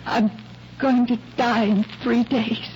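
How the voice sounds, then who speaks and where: An actress playing an anxious, secretive young heiress in a 1940s radio drama, pleading with urgency. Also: female voice, a little high, fairly even intonation, slow pace, loud music behind the voice. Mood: grave. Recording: muffled vintage radio recording, faint hiss.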